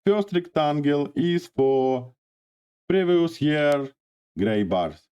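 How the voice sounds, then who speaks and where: Hoarse man walking through a software tutorial. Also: on the high side, animated, highs cut off. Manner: halting, frequent "uh" pauses. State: hoarse from a cold. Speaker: data visualization instructor. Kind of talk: screen-share software tutorial.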